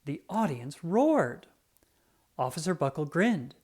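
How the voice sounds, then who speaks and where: An animated read-aloud narrator for a children's picture book. The pitch swings widely and expressively, and key words are stretched out for dramatic emphasis. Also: takes it slowly.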